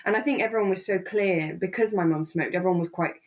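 A woman with a slightly low voice chats as a podcast co-host. She talks casually and reflectively, working out her thoughts aloud and often circling back over a phrase. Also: quick pace.

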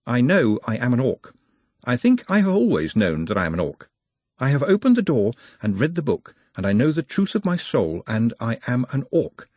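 A man voicing an earnest, polite, scholarly orc in a storybook, with formal, measured phrasing. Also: medium pitch, very animated, darkish tone, husky, no echo, voice pushed up. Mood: earnest, calm.

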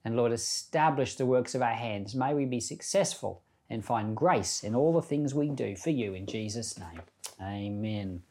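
A man's voice: mid-range voice, animated, normal speed, mellow, slight background noise. A man who is a pastor and podcast host, giving informal, plain-spoken Bible teaching and prayer, explaining by paraphrase.

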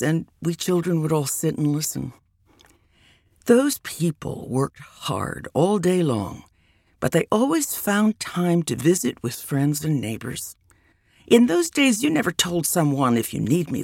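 Woman narrator for memoir reading, gravelly and animated in pitch, close dry mic. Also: no echo. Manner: unhurried reminiscing narration.

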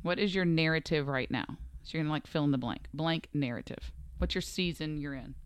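A woman hosting a podcast, chatting quickly and eagerly with her guest. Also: deep voice, clean tone, dry recording. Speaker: podcast host. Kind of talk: casual interview chat.

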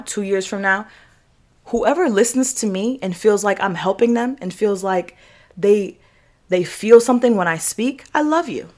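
A young American woman hosting a podcast, giving a casual motivational monologue in a candid, emphatic, slangy way with swearing and repeated phrases. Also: mid-range voice. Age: young adult. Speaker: podcast host.

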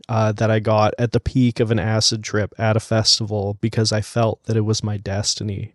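A man introduces his game character in a steady, deadpan way. His voice is low and barely moves in pitch. The sound is dry and close. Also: dry recording. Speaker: player. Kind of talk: in-character first-person introduction.

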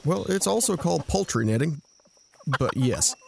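A man playing a practical, protective brother in an audio drama, gravelly and wry, explaining things with incredulous patience. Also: a little high, animated, normal speed, slight background noise. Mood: wry, instructive.

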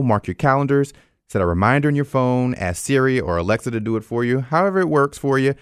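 A man with an American accent and a fairly low voice, hosting a radio arts show. He talks to listeners directly and conversationally, with a close, dry studio sound. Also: no echo. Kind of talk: scripted announcements and interview hosting.

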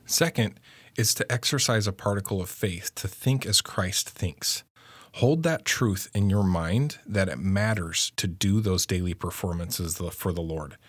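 Husky, dry-sounding man teaching earnestly. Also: low voice, measured pace, no echo. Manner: earnest, instructive. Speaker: lesson co-presenter. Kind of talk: religious self-help lesson.

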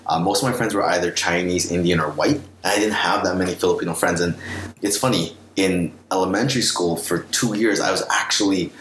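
A man's voice: sits low, brisk, fairly bright tone. A man in his late twenties with a western Canadian accent, casually telling personal stories as a podcast guest. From Vancouver, Canada. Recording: echoey room with faint background noise.

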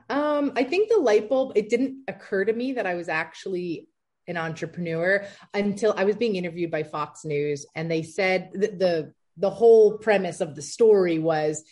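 Chatty thirties businesswoman, a bit low. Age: 30s. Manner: chatty, lively, laughs easily, many fillers. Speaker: entrepreneur guest. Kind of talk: casual interview answers.

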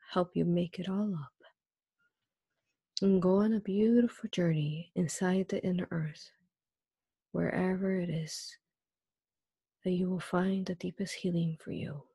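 Slow, breathy woman guiding meditation. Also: on the low side, warm tone, no echo. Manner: soothing, hypnotic repetition with drawn-out phrases. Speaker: guided meditation leader. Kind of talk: guided meditation narration.

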